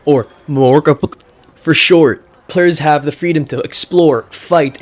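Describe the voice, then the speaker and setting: A young man from the United States, giving a scripted class speech in a casual, wry way with slangy asides. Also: fairly high pitch. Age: young adult. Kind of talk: recorded speech, scripted but jokey.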